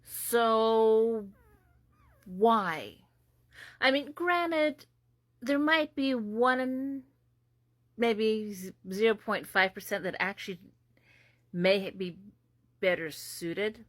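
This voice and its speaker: A woman from the United States speaks in slow, drawn-out phrases, heavy with sarcasm and disbelief. Her voice sits in a middle register. The recording is dry and close. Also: fairly high pitch, no echo. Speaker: commentary host. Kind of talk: political commentary.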